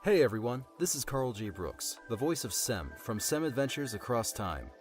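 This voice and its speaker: A man's voice: medium pitch, moderate pace. A man, a voice actor, giving an upbeat promotional sign-off. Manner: upbeat, animated appeal. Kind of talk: promotional outro. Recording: music under the voice.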